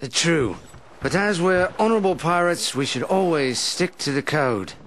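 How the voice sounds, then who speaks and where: An actor playing a sly, swaggering, flippant pirate captain in a video game, with a theatrical, wry delivery. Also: male voice, lively intonation, normal speed, fairly bright tone. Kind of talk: video game cutscene acting. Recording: noisy game capture with rumbling ambience.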